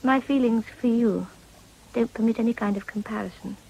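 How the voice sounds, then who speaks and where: A woman's voice: fairly high pitch, a little fast, gravelly, mellow, husky, rough recording. An actress playing a decorous, determined young well-born Englishwoman in a period radio drama, with refined, formal English diction. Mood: earnest, intimate.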